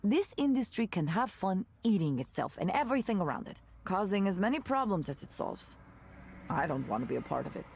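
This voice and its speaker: An actress playing a candid, jaded former tech worker in a visual novel, conversational and sardonic with disgusted asides. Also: female voice, medium pitch, very animated, a little fast, creaky, darkish tone, a little hiss or hum. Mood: weary, earnest. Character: candid, jaded woman ex-tech worker telling a wry story. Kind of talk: naturalistic visual novel voice acting.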